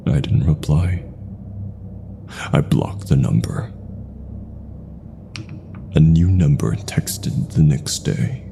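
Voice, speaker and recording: A man reads a scripted horror story in a very low, gravel-edged voice. His delivery is slow and deliberate, with hushed phrase endings. There is faint noise behind him. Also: animated.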